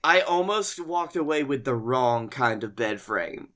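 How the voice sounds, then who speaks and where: Bright-voiced man telling casual comic stories. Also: mid-range voice, animated, a little slow. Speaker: comedy podcast co-host.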